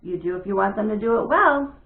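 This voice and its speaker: A woman's voice: mid-range voice, expressive, a little fast, lightly acted. A woman voicing a teasing, bossy older sister in a storybook, with a firm, taunting delivery. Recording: thin phone-like audio, echoey room.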